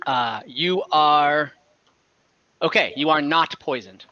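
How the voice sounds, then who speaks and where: A man's voice: fairly high pitch, slow, no echo. A young man running a tabletop game over a video call, casual and hesitant with many fillers. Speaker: game master. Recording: dull lo-fi call audio.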